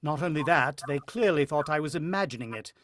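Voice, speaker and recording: A man's voice: fairly high pitch, animated, a little fast, fairly bright tone. An actor playing a proud, short-tempered, condescending husband who also narrates his ordeal in a radio drama. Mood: irritated, uneasy. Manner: condescending, irritable. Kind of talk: radio drama acting with first-person narration.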